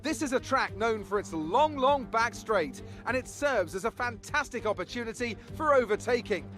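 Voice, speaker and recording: A man's voice: very high voice, lively intonation, moderate pace, fairly bright tone, soft background music. A man as a polished, upbeat TV race commentator in a video game, presenting in broadcast style. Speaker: lead motorsport commentator.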